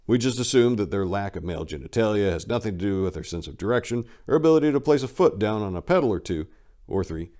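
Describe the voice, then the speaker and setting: A man's voice: lowish voice, darkish tone. A man giving a dramatic reading of a satirical monologue in a sarcastic, opinionated, rhetorical manner. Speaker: narrator reading a book aloud.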